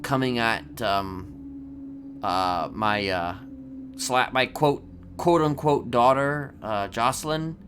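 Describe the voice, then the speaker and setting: A man playing a calmly boastful, evasive serial killer in improvised crime roleplay, rambling and hesitant as he confides. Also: medium pitch, slight background noise. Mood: evasive, musing. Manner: halting, rambling, hesitant delivery.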